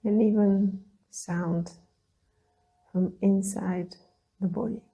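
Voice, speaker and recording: A woman leading a guided meditation, speaking in a soothing, gentle way with long pauses between phrases. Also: medium pitch, steady tone, creaky, slightly rough, poor audio. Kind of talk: guided meditation, calm instruction.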